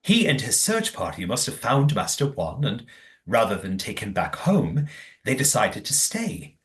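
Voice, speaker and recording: A man's voice: medium pitch, wildly swooping pitch, unhurried, a bit bright. An actor playing a righteous, well-spoken man in an audio play, formal and emphatic. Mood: earnest storytelling. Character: righteous, well-spoken man guarding his community's history.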